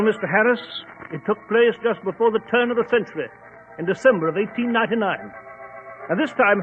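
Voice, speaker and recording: A man playing a genial, bluff English doctor and storyteller with a British accent, in 1940s radio acting style on a tinny old recording. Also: sits high.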